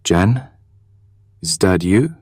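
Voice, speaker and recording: A man's voice: deep voice, lively intonation, drawn-out words, a little mellow, no room sound, studio quality, heavily acted. An actor playing a practical male survivor in an audio drama, terse and plain-spoken. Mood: concerned.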